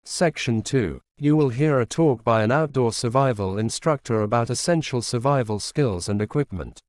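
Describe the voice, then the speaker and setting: A man's voice: medium pitch, moderate pace, a little mellow, dry recording. A man reading scripted listening-test instructions as a formal exam narrator. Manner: formal announcing.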